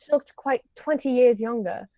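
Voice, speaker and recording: A woman's voice: a little high, normal speed, no room sound, phone-quality sound, lightly acted. A woman voicing an imperious older aristocratic aunt, stately and haughty, in a table read of a stage comedy over thin call audio. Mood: haughty gossip.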